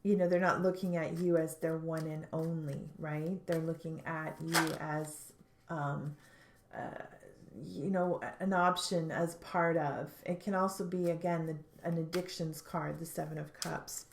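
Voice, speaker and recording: A woman giving a tarot reading, sounding stuffed up, speaking thoughtfully with frequent pauses and restarts. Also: sits low, steady tone, vocal fry, echoey room, background noise. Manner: thoughtful, hesitant, many fillers and restarts. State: stuffed up, congested. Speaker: tarot reader.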